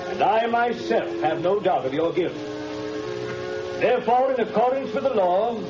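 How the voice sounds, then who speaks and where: An actor playing a stern judge speaking in a solemn, formal and measured way, in a 1930s radio drama. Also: male voice, sits high, lively intonation. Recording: dull, echoey old radio recording with music.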